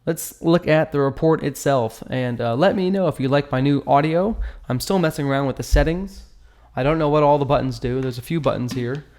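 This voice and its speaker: A man with a mid-pitched voice talks through a game battle as a channel host. His delivery is informal and conversational, full of slang and joking asides. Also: a little fast, few pauses, darkish tone. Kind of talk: casual gameplay commentary, analysing a battle report.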